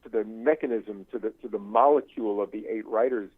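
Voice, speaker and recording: An older man giving an unscripted interview as a guest professor, halting and searching, often circling back over his phrases. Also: lowish voice, husky, no room sound. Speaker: guest, screenwriting professor and writer. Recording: thin phone-like line.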